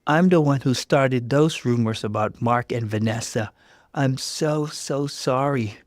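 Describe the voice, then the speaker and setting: A nervous young female co-worker in a narrated story, acted out by a man. The delivery is remorseful and apologetic, raised in pitch over a plain narrating voice. The recording is very dry. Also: a little slow, no room sound, natural voice.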